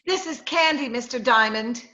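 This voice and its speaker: An actress playing an urgent, pleading young dame in trouble, in an old-time radio melodrama. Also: female voice, on the high side, moderate pace, bright, forceful tone. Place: at home, recorded remotely. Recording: slightly dull, lo-fi remote recording.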